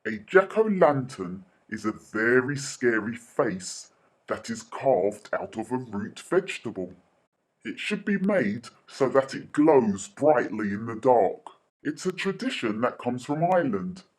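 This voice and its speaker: A man with a mid-pitched voice presents facts to children. His delivery is slow and deliberate, with lively, expressive intonation throughout. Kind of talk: educational narration for children.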